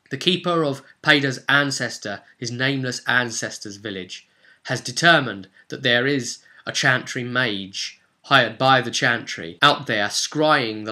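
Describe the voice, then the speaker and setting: A man narrating a fantasy role-play recap as game master, in a dramatic, theatrical storytelling style with emphatic phrasing and deliberate pauses. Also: mid-range voice, pushed and bright.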